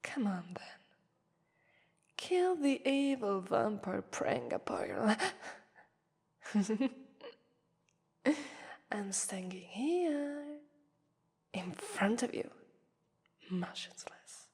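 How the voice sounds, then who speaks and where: A woman's voice: on the high side, sing-song, darkish tone, exaggerated voice. A woman with an Italian accent voicing a haughty, mocking female vampire who toys with her prey in a theatrical ASMR roleplay. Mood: taunting. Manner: mocking, theatrical, drawn-out phrasing with breathy whispers. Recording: long reverberant hall echo.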